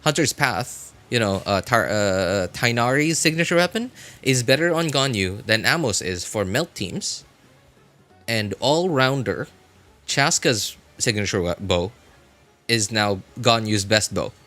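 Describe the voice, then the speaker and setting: A man chatting casually as he comments on gameplay on a live stream, rambling and often correcting himself in a halting way. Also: lowish voice, animated, bright, forceful tone. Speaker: game livestreamer.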